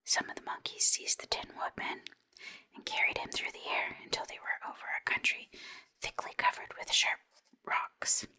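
Whispering woman reading a storybook. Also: normal speed. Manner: soft, steady whispering narration.

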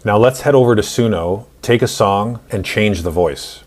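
A man in his forties narrating a screen-recorded software tutorial in an instructional, step-by-step way. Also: on the low side, moderate pace. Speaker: tutorial host.

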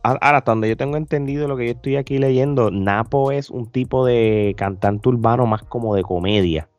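Guy on a reaction podcast, soft mellow tone, dry close mic. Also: mid-range voice, brisk, no echo, soft background music. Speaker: podcast host. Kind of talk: casual reaction podcast chat. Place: indoor room, remote video call. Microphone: close desk podcast microphone with headphones.

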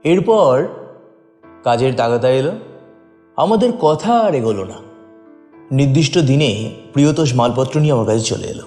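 A man's voice: mid-range voice, lively intonation, moderate pace, long pauses, darkish tone. A man reading a scripted first-person story as a dramatic narrator. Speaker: story reader. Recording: long reverb, faint music behind.

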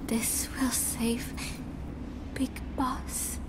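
A frail, very slow-speaking captive woman. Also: high-pitched, little pitch movement, whispered. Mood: weak, pleading. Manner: halting, faint, breaking phrases apart. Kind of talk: video game cutscene acting.

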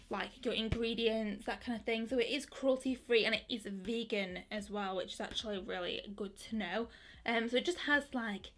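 A young woman in her twenties chatting excitedly through a makeup review, with lots of fillers and restarts. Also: on the high side. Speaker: beauty vlogger. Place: bedroom with colourful lighting.

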